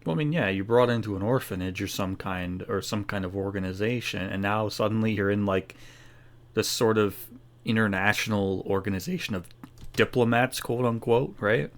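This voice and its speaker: A man's voice: lowish voice, normal speed. A man giving casual, laid-back playthrough commentary, musing aloud as a gaming streamer. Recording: faint game sound behind voice. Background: video game audio.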